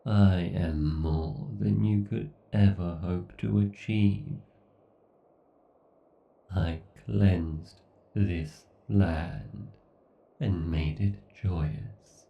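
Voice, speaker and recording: Man voicing a very slow, menacing AI god. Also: on the low side, creaky, full character voice. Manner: slow, deliberate, menacing, grandiose. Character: obsessed, sadistic, gloating male AI god villain. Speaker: voice actor. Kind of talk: horror ASMR roleplay monologue. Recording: muffled, echoey, distant-sounding.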